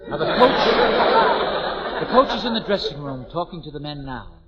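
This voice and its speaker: A man's voice: fairly high pitch, very animated, measured pace, a loud crowd. A man working as announcer and straight man in scripted 1940s radio comedy banter. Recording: muffled 1940s radio recording.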